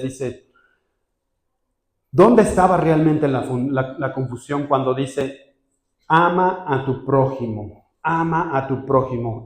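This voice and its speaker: A pastor preaching a sermon in a lively way, acting out quoted voices and often correcting himself mid-phrase. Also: male voice, mid-range voice, echoey room.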